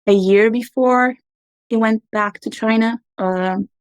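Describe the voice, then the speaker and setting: A woman in her thirties, from Portugal and raised abroad, earnestly recounting her life story as a podcast guest with frequent pauses. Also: a little high, steady tone, clean tone, no room sound. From Portugal, raised in Angola and Macau. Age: 30s. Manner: reflective, earnest, with frequent ums. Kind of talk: personal storytelling interview.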